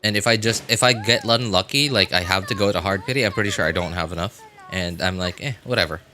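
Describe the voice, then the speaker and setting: Bright-voiced young-sounding man rambling on stream. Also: fairly low pitch, quick pace, faint music behind. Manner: rambling, self-deprecating. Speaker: live game streamer. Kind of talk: casual livestream chat while playing a video game.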